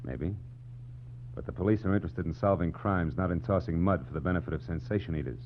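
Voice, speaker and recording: A deep-voiced man acting as a tough American private eye, wry and clipped. He delivers wisecracks and cool narration in 1940s radio drama style. The old recording is narrow and muffled, with a faint hum. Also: fast, lightly acted. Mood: cool, sardonic.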